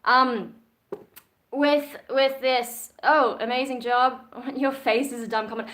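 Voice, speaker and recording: A woman's voice: sits high, steady tone, slow, fairly bright tone. A young Australian woman chatting casually on a livestream, reading out and answering viewer comments. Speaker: YouTuber.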